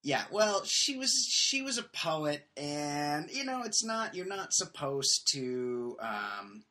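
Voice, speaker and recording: A man hosting a casual comedy podcast, riffing with opinionated, quick takes. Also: on the high side, big pitch swings, sharp tone. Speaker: podcast host.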